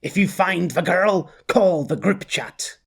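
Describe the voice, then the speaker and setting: A man voicing a blustering, boastful petty male gang boss who rants and bosses his crew around, with a husky voice. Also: high voice, animated, slow, pitched above the performer's own voice, heavily acted. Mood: bossy. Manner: boastful, bossy, rough-talking.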